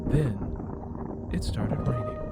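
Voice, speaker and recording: A man's voice: mid-range voice, animated, takes it slowly, over loud music. A man who works as a podcast host and storyteller, speaking to listeners. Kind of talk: conversational interview and scripted audio drama narration.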